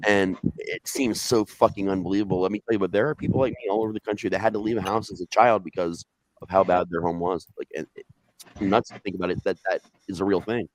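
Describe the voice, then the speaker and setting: A man's voice: low voice, steady tone, a little hiss or hum, poor audio. A man who tells stories, chatting bluntly and profanely on a video call. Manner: blunt and profane, fast-talking. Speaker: storyteller. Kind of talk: casual chat on a video call.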